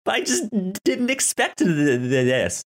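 A man hosting a podcast in casual TV review chat, with a halting, stammering delivery that often repeats words. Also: a little high, wildly swooping pitch. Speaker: podcast host.

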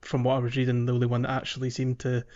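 A man with an average-pitched voice discusses films casually, as a podcast co-host would. He hesitates and searches for words. The sound is a little dull and muffled. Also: completely flat delivery, rapid-fire, darkish tone. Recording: slightly dull, muffled audio.

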